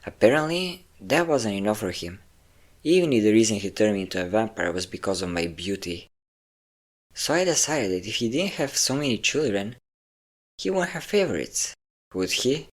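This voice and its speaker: Low male voice, obsessive vampire lover, acted by a man, intimate audio roleplay, theatrical, vain, cruel, lingering delivery. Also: big pitch swings, moderate pace. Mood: coldly vindictive.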